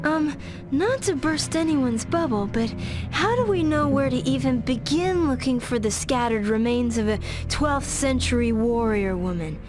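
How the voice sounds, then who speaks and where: A young actress playing a hesitant, wry ally in a video game. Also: female voice, sits high, expressive, measured pace. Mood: doubtful, wry. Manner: hesitant, quirky clipped humor. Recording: music behind.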